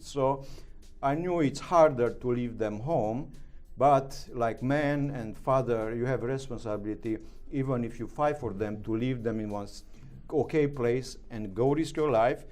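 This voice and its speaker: A middle-aged man with a Romanian accent, speaking broken English as an interview guest, halting with many fillers. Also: mid-range voice, a little mellow. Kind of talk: interview answers.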